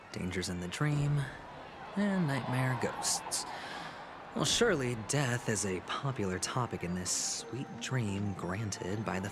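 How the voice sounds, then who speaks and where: A low, unhurried, cunning gambler. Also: male voice. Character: smooth, cunning young male gambler posing as an investigator. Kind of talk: video game voice acting. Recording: game music behind the voice.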